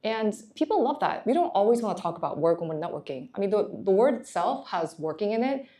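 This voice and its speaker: A woman's voice: medium pitch, sing-song, quick pace, mellow. A young woman from Korea, a management consultant, giving candid, encouraging career advice in a chatty way.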